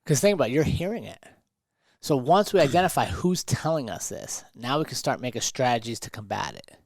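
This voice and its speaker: A man's voice: medium pitch, sing-song, fairly quick, creaky, no room sound. A man talking conversationally as a motivational podcast host and coach, emphatic and fond of rhetorical questions.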